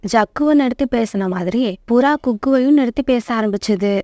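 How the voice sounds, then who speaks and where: Mellow woman narrator, slightly high, dry sound. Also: normal speed, dry recording. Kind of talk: scripted children's story narration.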